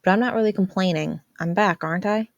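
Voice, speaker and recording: A woman's voice: mid-range voice, quick pace, no echo, dull audio, lightly acted. Teen boy with a secret, played by a female reader, audio story, sheepish, evasive, offhand delivery. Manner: hesitant, casual, defensive.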